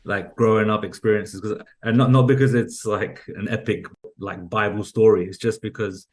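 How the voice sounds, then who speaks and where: A man with a London accent speaks as a podcast guest, his voice sitting very low. He talks loosely, circling back and restarting his sentences with plenty of "like" and "you know.". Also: fairly even intonation, normal speed. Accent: London English. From London, England. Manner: rambling, many restarts and "like"s. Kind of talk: casual interview conversation.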